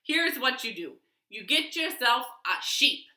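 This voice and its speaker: A woman's voice: on the high side, big pitch swings, measured pace, bright, forceful tone, full character voice. A woman voicing a confident village wise man in a children's story, giving advice emphatically. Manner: emphatic, repeats yes and yep. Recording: echoey living room.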